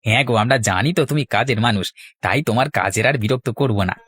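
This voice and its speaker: A man's voice: medium pitch, quick pace, fairly bright tone, husky, dry recording. A man voicing a cheeky village youth in a cartoon, cajoling and pleading. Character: cheeky village youth collecting money for a feast. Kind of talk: Bengali cartoon voice acting.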